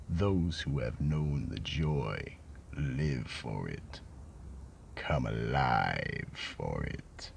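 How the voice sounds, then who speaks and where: Casting: male actor as an ominous horror narrator and host; gravelly, slow, with lively swoops in pitch. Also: a little hiss or hum, heavily acted. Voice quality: deep, gravelly. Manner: ominous, deliberate. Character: sinister, relishing horror-show storyteller. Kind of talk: theatrical scripted horror narration.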